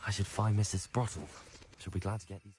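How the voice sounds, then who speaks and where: A man's voice: fairly low pitch, level tone, quick pace, slight background noise, close to an everyday voice. An actor playing an earnest, polite young male wizard student in a video game. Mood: earnest, thoughtful. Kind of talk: scripted video game acting.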